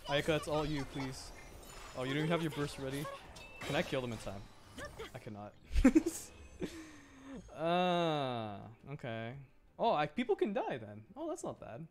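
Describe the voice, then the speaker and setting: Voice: medium pitch, moderate pace, pauses often. A streamer giving casual live gameplay commentary, talking to themselves and the game characters, repeating phrases as they react. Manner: animated, reactive. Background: game music.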